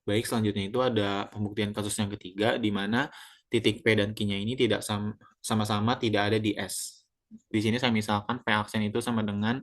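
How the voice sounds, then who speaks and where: A young man from Indonesia walks his class through a geometry proof. His voice sits a little low, and he talks haltingly, stopping on fillers and correcting himself as he goes. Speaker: student presenter.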